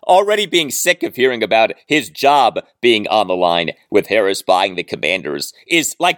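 Very animated male sports podcast host. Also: mid-range voice, no echo, studio quality. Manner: emphatic, dramatic phrasing with rhetorical repeats. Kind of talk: solo sports talk monologue.

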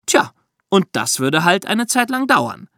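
Animated, higher-pitched man telling true crime history. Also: a little slow, vocal fry, no room sound, studio quality. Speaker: podcast host explaining true crime history. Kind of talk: conversational storytelling, explanatory.

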